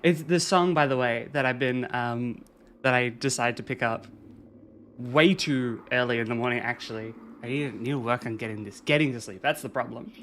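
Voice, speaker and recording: A game streamer talking casually through a live stream, chatting with viewers and reading chat aloud in a soft, soothing way. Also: mid-range voice, normal speed, slight background noise. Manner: chatty, reads and answers chat.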